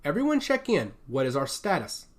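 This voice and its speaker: A man voicing a bold, brisk and commanding young woman leading a raid in a science fiction audiobook. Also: on the high side, wildly swooping pitch.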